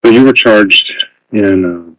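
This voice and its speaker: A man working as a federal agent, questioning a suspect in a calm, professional, procedural way, in a muffled lo-fi interview recording. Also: fairly low pitch, measured pace. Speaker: FBI agent. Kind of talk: formal interrogation.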